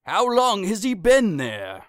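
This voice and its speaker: A woman as fretful old friar, wildly expressive. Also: mid-range voice, slow, dry recording, clean studio sound. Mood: anxious. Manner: fretful, exclamatory. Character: anxious old friar, kindly, fearful, male. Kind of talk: audio drama acting of modernized Shakespeare.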